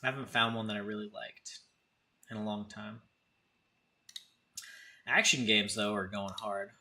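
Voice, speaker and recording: A man's voice: fairly low pitch, fairly even intonation, unhurried, sharp tone. A man in his thirties hosting a casual livestream, talking informally and directly to his viewers. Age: 30s. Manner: informal, conversational, addresses chatters directly. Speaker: live streamer, host.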